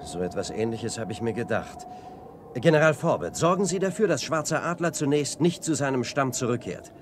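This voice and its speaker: A man acts a young adventure hero in a radio drama. His voice is very animated in pitch, earnest and decisive, with a faint rumble of noise behind it. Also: fairly quick, close to an everyday voice. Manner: urgent, explanatory. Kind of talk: radio play acting.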